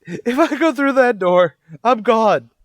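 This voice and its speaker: A man's voice: very high-pitched, animated, slow, no echo, clean studio sound, pitched above the performer's own voice, heavily acted. A man playing a terrified condemned prisoner in a radio drama, stammering and pleading his innocence. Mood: panicked, pleading. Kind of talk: old-time radio drama acting.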